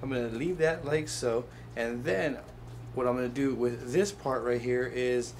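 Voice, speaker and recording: A man's voice: medium pitch, fairly even intonation, unhurried, soft background music. A man hosting a casual hands-on how-to video, explaining things step by step as he handles them. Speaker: host of a how-to product video. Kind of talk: casual hands-on tutorial narration.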